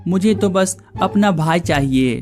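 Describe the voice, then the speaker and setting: A performer plays a young village man in a cartoon moral tale, the earnest youngest brother. He speaks politely and imploringly in a mid-pitched voice over background music. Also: a little slow, a little mellow, lightly acted. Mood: earnest, pleading. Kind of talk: cartoon moral story dialogue.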